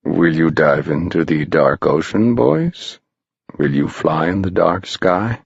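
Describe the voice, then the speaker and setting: Man voicing a deep ominous hooded ghost. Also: animated, gravelly, husky, no room sound, highs cut off, heavily acted. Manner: slow, hushed, solemn. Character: eerie hooded spectral man, grave and ominous.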